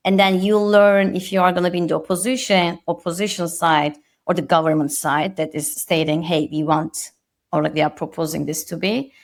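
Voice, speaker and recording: A woman's voice: on the low side, fairly even intonation, normal speed. A woman in her thirties from Turkey with a Turkish accent, a guest on a podcast interview, speaking reflectively and modestly with frequent restarts.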